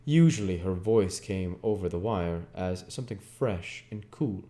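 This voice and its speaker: A man with a low, soft-edged and mellow voice reads literary fiction aloud. He narrates it in audiobook style, in a dark, relaxed tone. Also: unhurried. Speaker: reader of a video book series. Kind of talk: audiobook-style reading of a classic novel.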